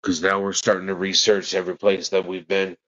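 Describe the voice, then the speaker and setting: Man, deep flat voice, earnest, halting, dull lo-fi interview clip. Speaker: father of a missing child.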